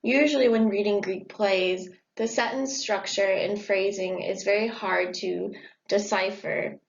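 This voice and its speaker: A woman's voice: mid-range voice, fairly even intonation, measured pace, gravelly. Young woman presenting a school report. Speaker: student presenter. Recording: dull lo-fi audio.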